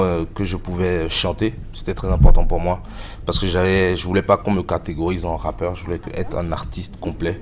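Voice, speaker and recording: A man in his thirties answers interview questions about his music in a very deep, flat voice. He speaks haltingly and often stops to search for words. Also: noisy background. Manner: hesitant, many fillers. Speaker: musician guest.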